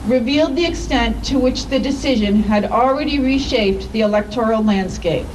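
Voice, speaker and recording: A woman with a somewhat high-pitched voice reads formal letters out loud in public. Her phrasing is measured and deliberate, with clear emphasis. The microphone sits away from her, and noticeable ambient noise runs behind the voice. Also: distant mic, poor audio. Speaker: activist reading statements aloud at a public event.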